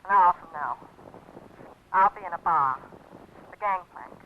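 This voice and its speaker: A woman's voice: fairly low pitch, a little slow, lightly acted. A woman plays a frightened young woman on the run in a 1940s radio crime drama, guarded and clipped, trusting nobody. Her voice comes through a dull, old 1949 broadcast with a faint hiss underneath. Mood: wary, nervous.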